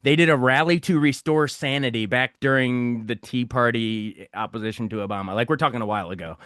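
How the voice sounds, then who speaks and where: A man's voice: medium pitch, fairly quick, fairly bright tone, no echo, clean studio sound. A man around forty hosting a casual interview, chatty and eager, with an enthusiastic manner. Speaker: interviewer, host.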